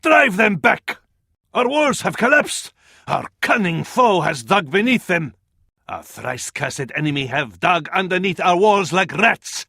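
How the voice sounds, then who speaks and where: An actor playing a devout, grandiose advisor to a sultan in a video game, with an Arabic accent and flowery, dramatic delivery. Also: male voice, high voice, sing-song, a little slow, vocal fry, pushed and bright, breathy edge, no room sound, clean studio sound, heavily acted. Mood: urgent alarm. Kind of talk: theatrical video game voice acting.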